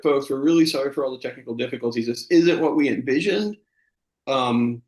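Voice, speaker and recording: Echoey room, man, average pitch, comedy show co-host, casual banter, deadpan, mock-earnest. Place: online video call. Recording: roomy, echoey sound.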